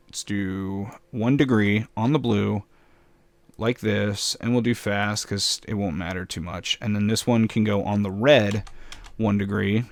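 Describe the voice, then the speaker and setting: A man casually commenting while building in a game, with a slightly raspy voice, rambling and poking fun at himself. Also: lowish voice, no echo. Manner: rambling, self-mocking, chuckles often. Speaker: gameplay commentator.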